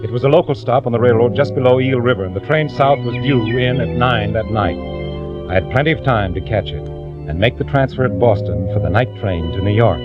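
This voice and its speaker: An actor playing a quietly scheming impostor in a 1940s radio drama, measured and confiding in his delivery. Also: medium pitch, close to an everyday voice. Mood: calm, calculating. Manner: measured, confiding first-person narration. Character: quietly scheming male clerk turned impostor, narrating his plot. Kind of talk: 1940s radio acting, scripted narration. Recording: muffled old radio, music behind.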